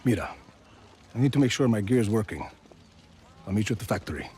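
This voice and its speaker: A man's voice: fairly low pitch, expressive, fast talker, darkish tone, slight background noise, natural voice. A man playing a confident, cool-headed rebel leader in a video game, speaking tersely. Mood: commanding. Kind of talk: video game dialogue acting.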